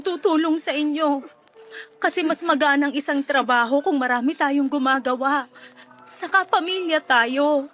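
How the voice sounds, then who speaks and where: A woman's voice: sits high, fairly bright tone. A woman playing a tender, remorseful mother of grown children in a radio drama, pleading and emotional. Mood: repentant, earnest. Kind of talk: Tagalog radio drama acting. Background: background music.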